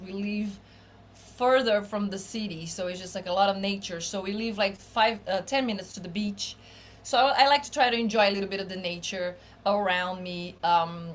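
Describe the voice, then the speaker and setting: A middle-aged woman from Brazil with a Brazilian Portuguese accent, speaking English as a second language, chatting relaxed as a musician guest in an interview, with frequent restarts. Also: medium pitch. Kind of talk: casual interview chat. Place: home studio room.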